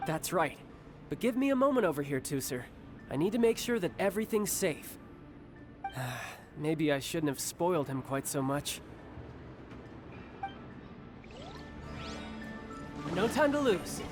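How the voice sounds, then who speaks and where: A man playing a confident, protective young older-brother warrior in a video game, smooth and theatrical. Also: on the high side, sing-song, moderate pace, over music. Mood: urgent.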